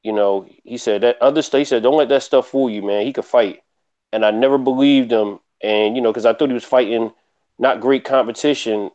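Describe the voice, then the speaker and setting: A man's voice: mid-range voice, fairly even intonation, brisk, darkish tone, no room sound. Dry, slightly muffled man talking boxing. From Philadelphia. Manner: colloquial, often punctuates sentences with "man". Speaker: boxing show panelist. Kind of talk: casual sports commentary. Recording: dry, slightly dull lo-fi audio.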